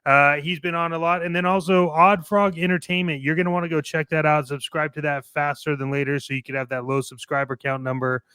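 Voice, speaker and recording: A man co-hosting a toy collectors' show, giving casual, playful promotional shout-outs like a pitchman. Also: level tone, a little fast, hardly any pauses, clear, resonant tone, no room sound.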